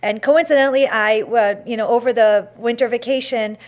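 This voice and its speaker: A woman's voice: fairly high pitch, steady tone, a little fast. A woman hosting a talk show, telling a story in a chatty way with many fillers and restarts.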